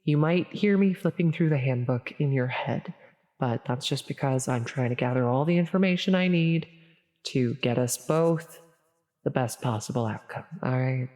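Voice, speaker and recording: A woman voicing a caring, flustered demon who insists she is evil, reassuring and chatty with stammers and self-interruptions, in a soft comfort audio roleplay. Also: a bass voice, moderate pace. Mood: gently reassuring. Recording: long reverberant echo on voice.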